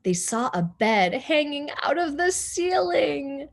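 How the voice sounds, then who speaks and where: A woman teacher narrates a children's storybook. Her delivery is slow and expressive, with a very lively melody to each line. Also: sits high, gravelly. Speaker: teacher reading aloud.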